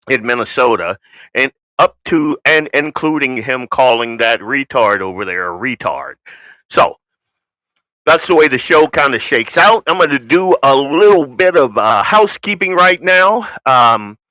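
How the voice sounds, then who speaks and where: A man with a flattened American accent and Southern roots, hosting a political talk show in an unscripted, blunt, opinionated, folksy way with rambling asides. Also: mid-range voice, no room sound, poor audio. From United States.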